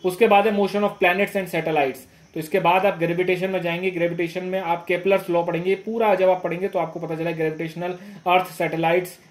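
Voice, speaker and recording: Echoey man teaching informally. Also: high voice. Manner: instructive, repeats phrases, frequent check-in tags. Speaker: exam coaching teacher. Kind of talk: informal exam guidance lesson. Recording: echoey room.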